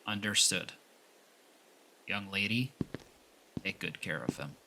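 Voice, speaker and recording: A man playing a stern, loyal Yakuza underling in an audio roleplay, firm and measured, with a gritty, husky voice. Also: low-pitched, pushed and bright, exaggerated voice, low-quality recording. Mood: subdued. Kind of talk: audio roleplay drama acting.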